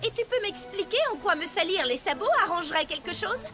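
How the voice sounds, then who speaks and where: A woman's voice: very high voice, animated, fairly quick. A woman voicing a refined, fussy unicorn mare in a cartoon dub, prim and theatrical in her complaints. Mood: haughty. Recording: loud cartoon music behind.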